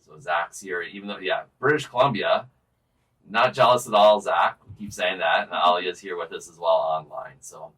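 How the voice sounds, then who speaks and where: A man hosting a casual podcast discussion with research assistants, relaxed and joking, trailing off at the ends of phrases. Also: low voice, moderate pace, poor audio.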